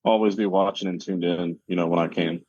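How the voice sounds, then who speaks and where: A man answering questions as a podcast guest in casual chat, speaking in halting, hesitant phrases. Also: low-pitched, fairly even intonation, darkish tone, husky, lo-fi sound. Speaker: podcast guest, competitive cornhole player.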